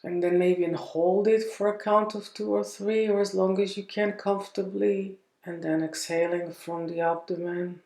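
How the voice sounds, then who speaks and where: A woman leading a guided meditation in a gentle, unhurried manner, leaving long pauses between phrases. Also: mid-range voice, fairly even intonation, echoey room.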